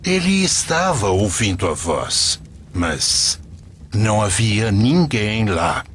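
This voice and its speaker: A man narrating a scripted religious story as a storyteller, in an expressive, deliberate way. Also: lowish voice, a little hiss or hum.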